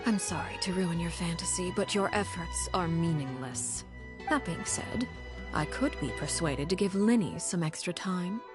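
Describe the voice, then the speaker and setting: Woman as haughty, low-voiced powerful lady. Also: expressive, music in the background, natural voice. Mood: condescending. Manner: measured, condescending, formal. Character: cool, composed, haughty young woman of power, calculating. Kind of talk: video game character dialogue.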